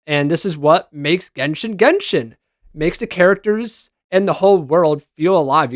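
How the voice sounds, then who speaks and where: A man's voice: sits high, no echo. Male game reviewer, upper-register voice, informal and enthusiastic fan delivery, very dry sound. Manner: informal, gushing fan talk. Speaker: video game reviewer and reaction host. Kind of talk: casual enthusiastic commentary over screenshots.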